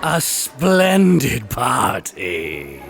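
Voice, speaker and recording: An actor playing a drunk, boisterous, lecherous baron in an audiobook, creaky, booming and slurring his drawled words. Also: huge pitch swings, drawn-out words, a bit bright, faint music behind, a faint crowd, full character voice. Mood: drunken, jovial. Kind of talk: full-cast audiobook acting.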